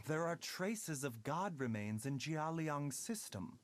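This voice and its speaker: An actor voicing a calm, courteous, enigmatic doctor in a video game, speaking in a formal, measured way. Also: male voice, on the high side.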